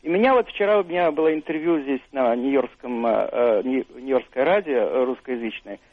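A man's voice: mid-range voice, animated, fairly quick, no echo. Older man, thin radio-band voice. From Russia. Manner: thoughtful, hesitant, many fillers and restarts. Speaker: author and university professor, studio guest. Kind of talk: radio interview answers. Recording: narrow phone-like radio sound, dry.

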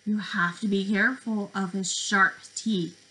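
A woman in her twenties, a speech therapist, reading to preschoolers in an animated, questioning way, recorded on a webcam in a bedroom. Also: medium pitch, a little slow, poor audio. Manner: animated, questioning delivery for young children. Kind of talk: interactive children's read-aloud lesson. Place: small bedroom indoors.

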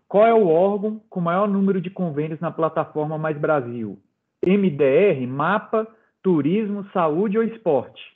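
Chatty man on a thin video call. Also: high voice. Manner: informal, hesitant fillers, jokes with audience. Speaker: presenter running a quiz. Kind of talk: online webinar talk. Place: home office. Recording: phone-like video call audio.

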